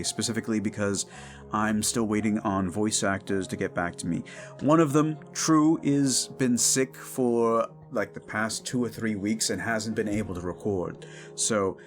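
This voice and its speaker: A man in his thirties chatting informally to his listeners as the host of an audio drama channel, in a rambling, unscripted way. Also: fairly low pitch. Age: 30s. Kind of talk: casual rambling outro to listeners.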